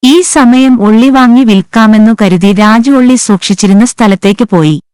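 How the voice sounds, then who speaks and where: A woman's voice: fairly high pitch, moderate pace, a little mellow, smooth, no echo. A woman reading scripted moral stories for children as the narrator. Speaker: story narrator. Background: background music.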